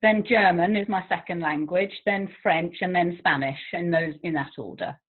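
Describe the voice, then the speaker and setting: A woman's voice: on the low side, normal speed. An English woman, a languages teacher, chatting as an interview guest, talkative and self-deprecating with frequent laughs. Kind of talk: casual interview chat. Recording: tinny phone-like line.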